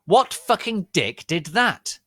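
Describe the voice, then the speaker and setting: A man's voice: high voice, sing-song, takes it slowly, sharp tone, dry recording, studio quality, pitched above the performer's own voice, heavily acted. A man voicing an angry, overwrought gothic teenage boy wizard in a dramatic story reading. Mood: angry shouting.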